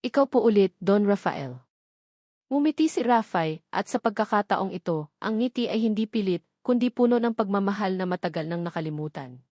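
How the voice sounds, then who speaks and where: A woman's voice: mid-range voice, lively intonation, a little fast, a little mellow, no echo, studio quality. A woman with a Filipino accent reading a dramatic scripted love story as its narrator.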